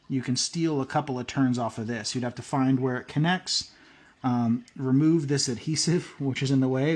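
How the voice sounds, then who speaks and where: A man's voice: medium pitch, darkish tone. A man giving a casual, hands-on tutorial at a workbench, talking in a loose, rambling way with plenty of "uh" and "um". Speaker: prepping channel host.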